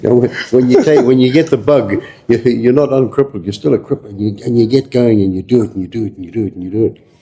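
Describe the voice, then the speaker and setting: A man's voice: medium pitch, fast, mellow. An older man from Zimbabwe, a shop owner talking philosophically in an interview and repeating himself as he thinks. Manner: philosophical, asks rhetorical questions, stammering repeats. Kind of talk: casual interview.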